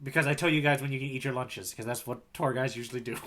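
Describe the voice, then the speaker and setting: A man's voice: mid-range voice, steady tone, fast, fairly bright tone. A man co-hosting a podcast in casual comedic chat, joking with mock authority and repeating phrases for effect. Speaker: podcast co-host playing the tour guide.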